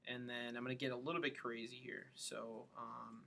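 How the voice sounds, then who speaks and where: Male tutorial instructor, bright forward voice, informal step-by-step delivery, some background noise. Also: medium pitch, flat delivery, normal speed, vocal fry. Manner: informal, thinking aloud step by step. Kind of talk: casual screen-recorded software tutorial.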